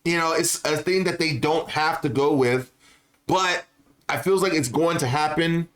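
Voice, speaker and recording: Man with higher voice, casual gaming commentary. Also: unhurried, a bit bright. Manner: informal, slangy, repeats phrases for emphasis. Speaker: gaming YouTuber. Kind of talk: casual opinionated commentary to viewers.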